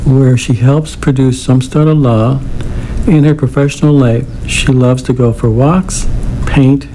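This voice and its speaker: Host, male, stutters; warm low-toned voice, slight background noise. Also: steady tone, a little slow, rough recording. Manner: stutters, with repetitions and blocks. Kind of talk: casual talk and poetry reading.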